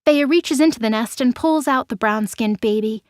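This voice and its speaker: A woman's voice: fairly high pitch, normal speed, dry recording, clean studio sound. A woman reading scripted dramatic narration for an audio story.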